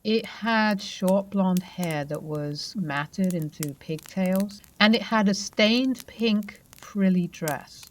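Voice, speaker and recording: A young adult woman narrating her own true paranormal story in a steady first-person telling, with occasional fillers. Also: mid-range voice, slow pace, few pauses.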